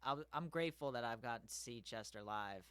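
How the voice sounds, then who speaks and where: A young male host chats in a mid-pitched, smooth and clear voice. He fills his sentences with "like" and "I mean". The sound is close and dry. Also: animated, fast talker, fairly bright tone, no room sound. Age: young adult. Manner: chatty, uses "like" often. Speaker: interviewer, host. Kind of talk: casual interview conversation.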